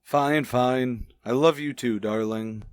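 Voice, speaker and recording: An actor playing a stuffy, bossy middle-aged high school principal in a vintage radio comedy, with formal, blustering delivery. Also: male voice, takes it slowly, clean tone, dry recording, clean studio sound, natural voice. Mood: contented.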